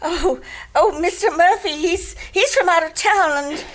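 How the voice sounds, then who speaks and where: A woman's voice: very high-pitched, measured pace. A woman acting an anxious, ladylike wealthy client in a radio drama, stammering and repeating her words. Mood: flustered.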